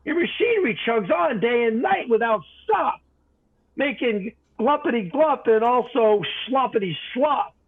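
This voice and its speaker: A man's voice: extremely high pitch, animated, measured pace, slightly rough, pitched above the performer's own voice, full character voice. A man voicing an indignant, scolding little creature that defends nature in a storybook, snappish and pleading by turns. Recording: tinny, phone-like narrow band.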